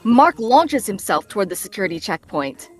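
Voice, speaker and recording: A woman's voice: mid-range voice, big pitch swings, moderate pace, soft background music. A woman narrating stage directions in a scripted table read.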